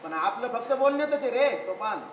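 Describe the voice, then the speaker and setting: Man playing a very high-pitched pragmatic elder brother. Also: animated, brisk. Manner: matter-of-fact, insistent. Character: pragmatic, argumentative elder brother, a bank clerk. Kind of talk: live one-act stage play acting. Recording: echoey stage recording, faint noise.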